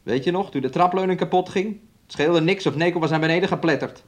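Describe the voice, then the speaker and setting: An actor as domineering young fiancé, higher-pitched man. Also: fast talker, lightly acted. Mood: sullen, needling. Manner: speaks of himself and her in third person, taunting. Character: domineering, needling young fiancé, resentful and moody. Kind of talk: radio play acting.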